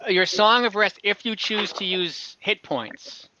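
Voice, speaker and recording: A man's voice: high voice, a little slow, bright, forceful tone, dull audio, slight background noise. A man acting as game master, casually narrating scenes and chatting with his players over an online call.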